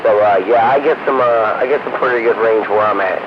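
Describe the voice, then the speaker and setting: Brief: male radio hobbyist, lower-pitched, casual and unhurried chat, muffled two-way transmission with light noise. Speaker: radio operator.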